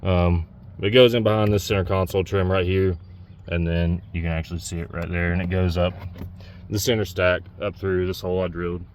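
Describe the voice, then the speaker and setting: A man's voice: sits low, fairly even intonation, a little fast, a little hiss or hum. A man giving a casual, unscripted DIY walkthrough, talking plainly and pointing things out as he works. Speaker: DIY vlogger demonstrating a truck CB radio install.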